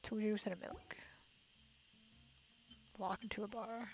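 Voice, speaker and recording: A woman's voice: mid-range voice, steady tone, measured pace, creaky. A woman chatting casually and a little giggly as she livestreams a video game. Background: game music.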